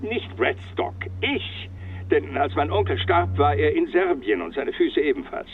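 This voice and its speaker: An actor playing a stubborn, articulate and formal French police commandant in a German radio crime drama. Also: male voice, fairly high pitch, lively intonation, unhurried, slight background noise. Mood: explanatory. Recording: dull, band-limited phone-call sound, faint music.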